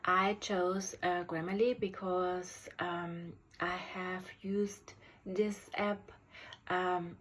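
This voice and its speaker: A special education teacher with a German accent, German as her first language, giving an unscripted app review in a halting way with many ums and restarts. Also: fairly low pitch, flat delivery, a bit bright, rough recording.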